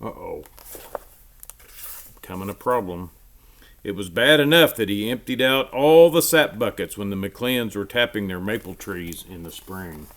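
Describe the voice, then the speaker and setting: A man reading a children's storybook aloud in an expressive, emphatic storytelling manner. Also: lowish voice, a little slow, a little hiss or hum.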